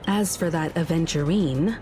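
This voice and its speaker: Low-voiced, composed woman strategist. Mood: measured, wary. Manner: measured, deliberate, reasoning. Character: calm, analytical adult woman, cautious crew member. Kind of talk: scripted game story dialogue. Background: background music.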